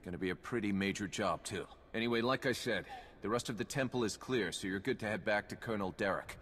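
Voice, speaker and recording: Male military ally for a game, voiced by a man: lowish, matter-of-fact, reverberant with rumbling noise. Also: quick pace, a bit bright, natural voice. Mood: businesslike. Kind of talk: video game cutscene acting. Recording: long hall reverb, rumbling noise behind.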